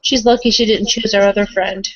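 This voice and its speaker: A woman playing an earnest, conciliatory young woman in a tabletop roleplaying game, speaking calmly and reflectively. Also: mid-range voice, a little fast, no room sound, muffled recording, natural voice.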